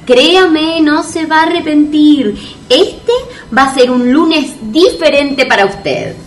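Una actriz argentina que interpreta en un radioteatro cómico a una vendedora de cremas zalamera, insistente y exagerada. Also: female voice, sits high, very animated, slow, exaggerated voice. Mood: gushing, flattering. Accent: Argentine, Rioplatense. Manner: gushing, coaxing, exaggerated. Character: pushy, flattering beauty cream saleswoman, secretly scornful. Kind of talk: comic radio play acting. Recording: roomy sound with loud background music.

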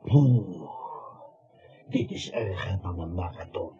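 An actor playing a tired, deadpan murderer on the run in a comic radio play, with a husky voice. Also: male voice, low voice, slow pace. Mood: exhausted, grumbling. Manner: deadpan, grumbling. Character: weary, matter-of-fact murderer on the run. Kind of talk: comic radio drama acting. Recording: dull lo-fi radio play recording.

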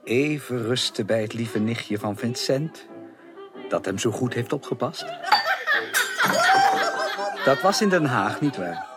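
An actor playing a courteous, composed and kindly gentleman in a Dutch radio drama, with polite, formal phrasing. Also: medium pitch, lively intonation, slow pace, pauses often. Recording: radio drama with loud music behind.

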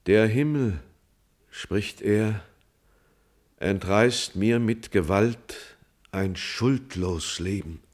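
Solemn, slow-speaking tragic messenger. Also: male voice, lowish voice, a little mellow. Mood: mournful, dying plea. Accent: standard German stage diction. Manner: solemn, declamatory, drawn-out dramatic phrasing. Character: grief-stricken male messenger, loyal friend reporting a hero's death. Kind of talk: classical German verse tragedy, declaimed radio play monologue.

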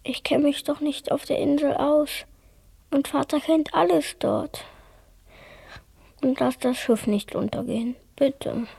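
A woman playing an anxious, devout little peasant boy in a radio drama, with a breathy, husky voice. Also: sits high, steady tone, measured pace, warm tone. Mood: pleading prayer.

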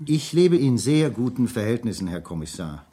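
A man's voice: medium pitch, big pitch swings, moderate pace, dark tone, husky. An actor playing a reserved, well-off married businessman with a formal, guarded way of talking in a 1970s German radio crime drama. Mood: subdued, guarded. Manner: formal, guarded, clipped answers. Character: reserved, well-off married Hamburg property broker under questioning.

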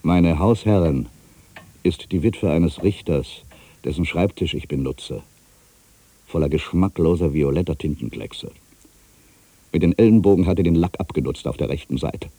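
A man's voice: low voice, moderate pace, pauses often, mellow, husky. A man playing an ageing, solitary writer, proud and wry, brooding through a literary dramatic monologue. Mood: wry, disdainful. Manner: brooding, self-questioning, swinging from mutters to outbursts.

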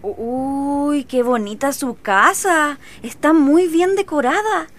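A woman's voice: sits high, slow pace. A young woman acting an enthusiastic student daughter in a scripted educational family drama. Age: young adult.

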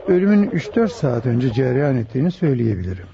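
An actor playing a careful police doctor in a radio drama, speaking formally and deliberately. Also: male voice, mid-range voice, very animated, vocal fry, warm tone. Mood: clinical, reserved. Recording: muffled old radio broadcast, crowd murmur.

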